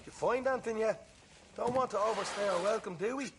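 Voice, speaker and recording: A man's voice: sits high, brisk, noisy background, close to an everyday voice. A man playing a cocky, eager young male outlaw in a video game, brash and boastful in manner. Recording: loud music behind the voice.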